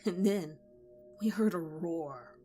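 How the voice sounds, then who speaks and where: Low-voiced woman reading horror stories. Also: slow pace, warm tone, faint music behind. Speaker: horror story narrator. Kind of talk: scripted horror narration.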